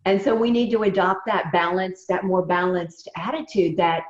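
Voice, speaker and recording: A woman, a voice coach giving measured, emphatic advice in a remote interview. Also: on the low side. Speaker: voice coach guest. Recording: roomy, dull lo-fi sound.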